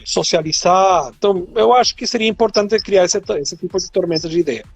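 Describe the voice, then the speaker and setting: A man's voice: sits high, faint music behind. A middle-aged man with a Venezuelan accent, Spanish his first language, explaining as a guest expert in an online chat with many fillers and restarts. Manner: hesitant, many fillers and restarts, slow in places.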